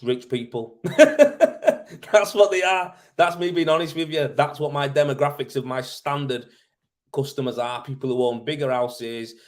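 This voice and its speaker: A man with a Yorkshire accent hosts a casual livestream at a medium pitch. He talks in a matey, colloquial way, thinking aloud as he works through his answers. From Leeds, Yorkshire, England. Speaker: live show host, tradesman in plastering. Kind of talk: casual livestream chat, answering viewer questions.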